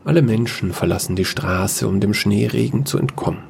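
A man narrating a sci-fi fairy-tale audio story in a measured storytelling manner. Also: lowish voice, creaky, a little hiss or hum.

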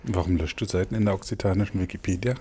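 A male podcast host introduces his guest and asks curious follow-up questions in a friendly tone. His voice sits low and has a slightly husky grain. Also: rapid-fire, dark tone. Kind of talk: podcast interview.

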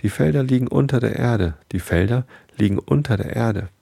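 A man's voice: mid-range voice, moderate pace, creaky, mellow, slightly rough, dry recording, studio quality, voice pushed up. A man voicing a proud, strutting rooster in a read-aloud story. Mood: crowing. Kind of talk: bedtime story reading.

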